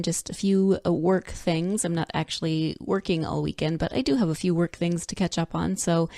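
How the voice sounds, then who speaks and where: A woman's voice: medium pitch, darkish tone, no room sound, clean studio sound. A woman hosting a casual solo podcast, chatty and upbeat, sounding congested and snuffly with a slightly scratchy throat. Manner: chatty and upbeat, with frequent fillers and self-corrections. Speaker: podcast host. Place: home in Northern California.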